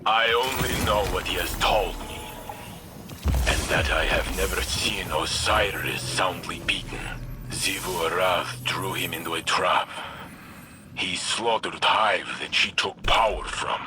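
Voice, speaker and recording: An actor playing a noble, grave veteran warrior in a video game, speaking formally with a Russian accent. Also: male voice, over music. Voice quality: deep. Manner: formal, deliberate phrasing.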